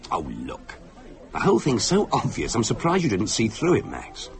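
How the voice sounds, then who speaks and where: An actor with a British accent playing a fussy, patronising, sceptical husband in a radio drama. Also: male voice, lowish voice, huge pitch swings, normal speed. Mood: scornful, sceptical. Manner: patronising, cajoling, insistent. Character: fussy, paternal, coaxing husband, sceptical and cynical.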